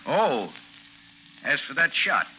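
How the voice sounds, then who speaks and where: An actor in a 1950s radio drama playing a vengeful gentleman duellist, coolly mocking and formal in speech. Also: fairly high pitch, sing-song, slow pace, exaggerated voice. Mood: bitter, controlled. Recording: noisy 1950s radio recording, slight hiss.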